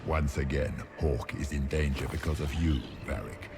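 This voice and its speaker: An actor playing a menacing demon that taunts its victims about their failures, slow and mocking. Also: male voice, extremely low pitch, fairly even intonation, over music. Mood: taunting. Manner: mocking, cruel, deliberate. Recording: game audio with ambient noise.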